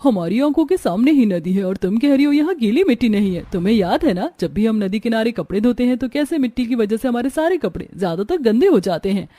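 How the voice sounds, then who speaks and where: A woman's voice: on the high side, lively intonation, fast talker, hardly any pauses, warm tone, dry recording. A woman playing a practical young village daughter-in-law in a scripted cartoon story. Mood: reasoning.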